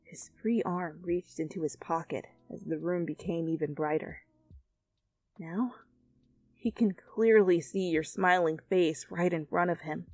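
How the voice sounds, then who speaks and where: A woman giving an expressive, theatrical amateur reading of fan fiction as narrator. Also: on the low side, measured pace, no room sound. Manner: animated, theatrical.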